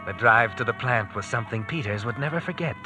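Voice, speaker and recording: Male actor for suspense narrator, grim and clipped, mid-pitched, classic radio play with clear music underneath. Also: expressive, fast talker, natural voice. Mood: suspenseful, grim.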